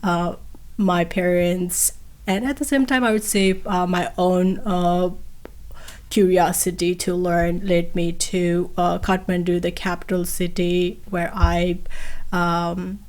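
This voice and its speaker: A woman's voice: on the low side, little pitch movement, takes it slowly, a little mellow. A young woman from Nepal, a guest on a podcast interview, speaking hesitantly with many fillers and restarts. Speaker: guest, PhD candidate.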